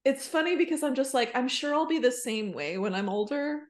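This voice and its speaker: Higher-voiced woman chatting casually. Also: animated, brisk. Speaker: podcast co-host. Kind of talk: casual chat. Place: indoor room on a video call.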